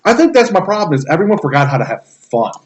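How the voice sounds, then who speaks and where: A man's voice: a little high, big pitch swings, quick pace. A man in his thirties hosting a casual podcast, ranting emphatically with plenty of swearing. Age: 30s. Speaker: podcast host.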